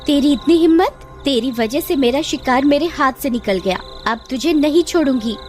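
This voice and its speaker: A woman's voice: high voice, expressive, normal speed, a little mellow, soft background music. A woman voicing a theatrical female witch in a cartoon horror story, menacing and falsely sweet. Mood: gloating, menacing. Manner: taunting, theatrical, switching to coaxing sweetness.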